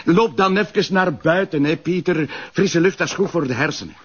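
An actor playing a courteous, measured Flemish police detective in a crime drama. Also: male voice, on the high side, slight background noise, close to an everyday voice. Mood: mildly irritated. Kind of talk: broadcast crime drama acting. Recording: dull lo-fi sound.

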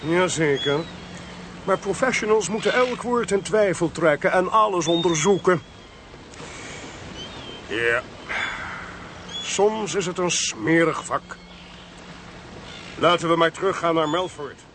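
A male actor in a Dutch radio play, voicing a cold, smooth-talking, ruthless intelligence official in a measured, condescending way. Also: on the high side, lively intonation, noisy background. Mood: coolly dismissive.

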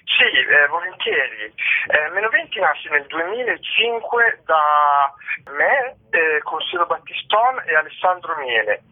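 A man's voice: fairly high pitch, sing-song, unhurried. A man being interviewed about theatre work over the phone, explaining in a thoughtful, somewhat hesitant way. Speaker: interviewed theatre company co-founder and artist. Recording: narrow tinny phone line.

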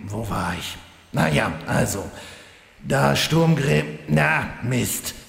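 A man playing a vain, gloating villain demon hunter with a deep gravelly voice in a German fantasy parody audio drama. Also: animated, very slow, full character voice. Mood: flustered, vain. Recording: echoey reverberant hall.

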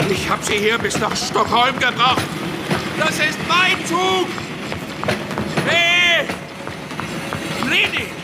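Desperate man crying out, very high-pitched. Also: full character voice, rough recording. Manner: breathless, exclamatory outbursts. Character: desperate, enraged man shouting after his departing train. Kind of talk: radio drama acting. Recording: loud music bed behind voice.

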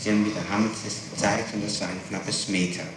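A man's voice: fairly low pitch, little pitch movement, fast talker. A man questioning someone formally in an interrogation, probing and persistent, with hesitant phrasing. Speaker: interrogator, state prosecutor. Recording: distant, very echoey, noisy archival tape.

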